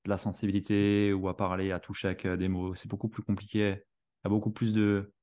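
Man, very deep voice, from Alsace, podcast host, hesitant talk. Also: flat delivery, fairly quick, a little mellow. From Alsace, France. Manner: hesitant, many fillers. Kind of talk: conversational interview.

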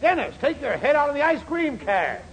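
An American actor playing a fussy, apologetic middle-aged shop boss in a 1940s radio comedy, hemming with false modesty. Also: male voice, extremely high pitch, very animated, a little fast, gravelly, full character voice. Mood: mildly bossy. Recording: noisy vintage 1940s radio recording.

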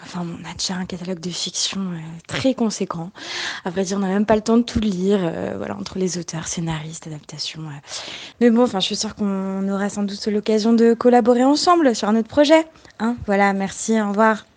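A woman's voice: medium pitch, a little fast, a little mellow, lightly acted. A woman playing an evasive, falsely polite film producer in a podcast drama, hesitating through her courtesies. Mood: awkwardly polite. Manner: hesitant, filler-laden politeness. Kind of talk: fiction podcast acting.

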